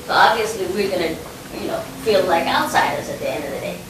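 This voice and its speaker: A woman's voice: fairly low pitch, fast talker. A young woman raised in Finland, talking reflectively with lots of "you know" and repeated starts. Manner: many fillers, "you know", hesitant repeats. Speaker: interviewee. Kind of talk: reflective casual talk. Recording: echoey, distant mic with hiss.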